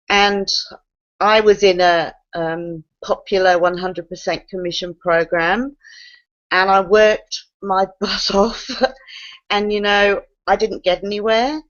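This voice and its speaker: A woman who talks as a guest on an online call, excited and quick to laugh. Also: medium pitch. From Australia. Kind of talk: casual excited testimony. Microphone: video call. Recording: lo-fi video call audio.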